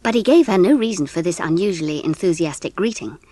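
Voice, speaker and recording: A woman with an English accent giving an expressive, measured narration of a scripted storybook. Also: lowish voice, no echo. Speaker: audiobook narrator.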